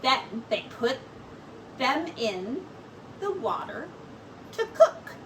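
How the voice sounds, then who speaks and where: Expressive high-voiced woman reading a story. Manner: expressive, deliberate storytelling with brief asides to listeners. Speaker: teacher. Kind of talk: reading a children's story aloud. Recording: distant mic with faint background noise.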